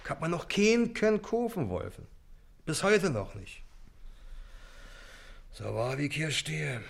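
A man's voice: on the high side, very animated, a little slow, vocal fry, exaggerated voice. An actor playing a wheedling, middle-aged river boatman and shady dealer in a radio play, speaking colloquial Berlin dialect. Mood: self-pitying. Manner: colloquial, grumbling, insistent bargaining.

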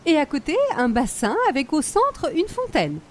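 A woman's voice: sits high, huge pitch swings, normal speed. A woman playing an affected, snobbish older hostess with a Quebec French accent and precious, formal diction, in radio comedy style. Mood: prim, evasive. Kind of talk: old-time radio comedy acting.

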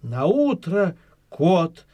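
A man narrating a folk tale as storyteller, in an expressive, dramatized way. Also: sits high, drawn-out words, dry recording, highs cut off.

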